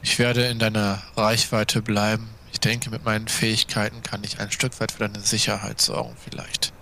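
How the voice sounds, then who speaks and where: A man playing a protective, cautious vampire companion in an audio drama, speaking in a tentative, measured way. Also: lowish voice, flat delivery, gravelly, bright, forceful tone, a little hiss or hum. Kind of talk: improvised role-play audio drama.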